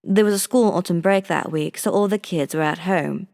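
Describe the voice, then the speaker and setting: A woman's voice: fairly low pitch, normal speed, vocal fry, a little mellow. A woman reading a scripted first-person scary story as a narrator. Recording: long reverb on the voice.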